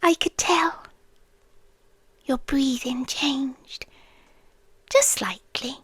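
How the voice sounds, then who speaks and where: A British woman playing an obsessive, creepily devoted yandere girlfriend in an intimate ASMR roleplay, breathy and often whispering, lingering on short phrases. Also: sits high, drawn-out words, a bit bright, no room sound, exaggerated voice. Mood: teasing menace. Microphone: close microphone, dry intimate recording.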